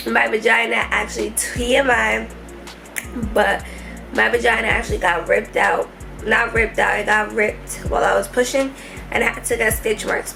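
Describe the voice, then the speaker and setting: A young woman talks in a relaxed, candid way from a hospital room, laughing easily. Her mid-pitched voice carries a lot of vocal fry, and she sounds tired and slightly stuffy. Music plays clearly in the background. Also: low-quality recording. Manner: chatty, candid, laughs often. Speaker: vlogger. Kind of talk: casual hospital vlog.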